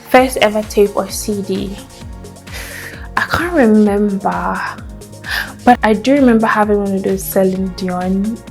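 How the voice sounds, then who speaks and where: A young woman, a native Hausa speaker, chatting casually to camera in a vlog, pausing to hum and think aloud while eating a meal. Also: mid-range voice. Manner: chatty, thinks aloud with hums and trailing asides. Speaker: vlogger. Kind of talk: casual chat.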